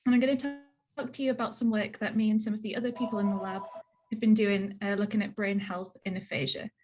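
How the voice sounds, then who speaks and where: A woman's voice: mid-range voice, flat delivery, fast talker, over music. Northeast English woman presenting over video call. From northeast England. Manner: chatty, plain explanations with frequent "so" and "um". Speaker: researcher giving a talk.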